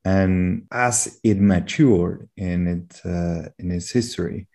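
A middle-aged man with a Peruvian accent speaks as an interview guest. His voice is low and mellow with a strong creak. He explains things conversationally and haltingly, often restarting phrases. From Peru. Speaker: interview guest, company executive.

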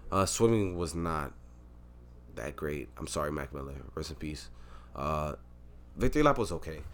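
A young man co-hosting a podcast, teasing and arguing loudly about sports in casual chat. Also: a bass voice, normal speed, very noisy. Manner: teasing, argumentative, gets loud and excited arguing sports. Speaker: podcast co-host.

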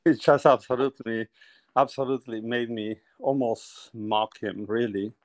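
A man's voice: medium pitch, steady tone, normal speed, dry recording. A middle-aged man from Peru, a painter telling his life story as a guest in a conversational interview, often laughing as he talks.

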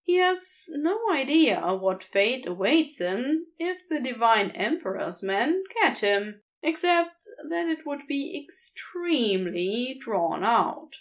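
A woman narrates a story at an unhurried, deliberate pace. Her voice sits a little high and moves through a wide, lively melody as she tells it, measured and expressive. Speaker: podfic reader.

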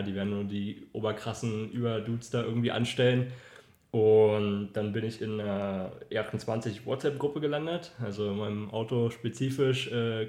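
A man's voice: on the low side, a little mellow. A man from Berlin chatting casually as a guest, telling his own story. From Berlin, Germany. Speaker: podcast guest, industrial designer. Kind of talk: casual chat, personal storytelling. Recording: roomy, echoing room.

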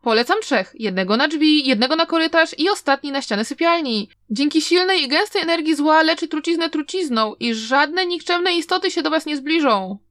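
A brash male street charlatan, put on by a woman who rattles off his sales pitch. He comes out raised and loud against a close, dry sound. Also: lively intonation, normal speed, few pauses, no room sound. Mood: hawking. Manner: brash hawker's patter. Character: pushy male street charlatan hawking charms.

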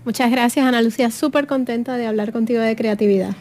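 A woman from Puerto Rico with a light Puerto Rican accent, a guest on a radio interview, lively and friendly. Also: a little high, fast. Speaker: guest, creativity workshop leader.

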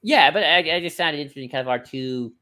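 A podcast co-host in casual discussion, speaking in a hesitant, halting way as if thinking aloud. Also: medium pitch, lively intonation, sharp tone. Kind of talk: casual discussion of franchise books and comics.

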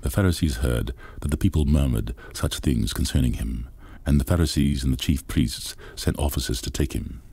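Very deep, gravelly male scripture reader. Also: normal speed, hardly any pauses, warm tone. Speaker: Bible reader. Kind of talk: scripture reading.

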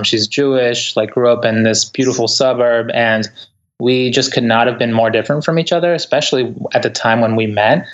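A man from Ecuador whose first language is Spanish, speaking as a guest author in a thoughtful, earnest interview with many soft fillers. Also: mid-range voice, dull audio. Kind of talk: reflective interview conversation. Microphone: remote interview over the internet.

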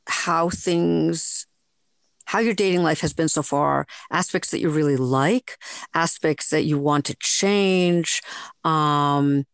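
A woman hosting a podcast, asking long, measured questions that search for the right words. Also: deep voice, gravelly, no room sound. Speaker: podcast host. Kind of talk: interview questions.

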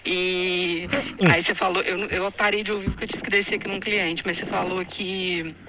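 A woman's voice: on the low side, flat delivery, moderate pace, background noise. A Brazilian woman leaving a chatty voice message that explains something. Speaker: listener sending a voice message.

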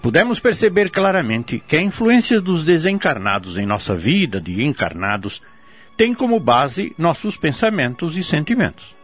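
A man's voice: on the high side, sing-song, moderate pace, slightly rough, faint music behind. A man with a Brazilian accent giving formal, didactic religious commentary from a script. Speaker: commentator on spiritist teaching.

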